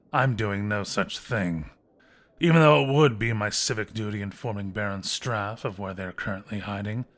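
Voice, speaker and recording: A man acts a scheming fantasy guildmaster with a lowish voice. He talks in a smug, theatrical drawl of mock courtesy, taunting like an audio drama villain. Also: fairly bright tone. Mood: sly, self-justifying.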